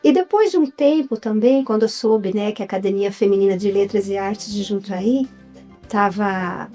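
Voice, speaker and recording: A woman's voice: medium pitch, moderate pace, dark tone, soft background music. A woman from Brazil, a writer and former psychologist, telling her story in a casual interview with many hesitant fillers.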